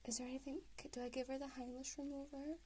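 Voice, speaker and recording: A woman's voice: high-pitched, little pitch movement, quick pace, mellow, a little hiss or hum. A young woman whispering to viewers on her ASMR live stream, anxious and flustered, swearing and repeating herself.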